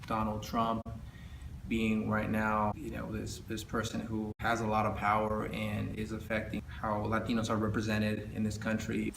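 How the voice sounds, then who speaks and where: Flat gravelly-voiced man, far from mic. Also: lowish voice, moderate pace. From Southern California. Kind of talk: interview testimony. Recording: roomy echo, off-mic, noisy.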